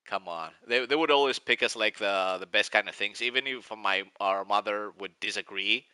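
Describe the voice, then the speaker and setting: A man's voice: on the low side, moderate pace, pushed and bright, clean tone, dry recording, rough recording. A man in his forties with a Greek accent, rambling and nostalgic as he chats casually on a live stream. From Greece. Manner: rambling, nostalgic, restarts often.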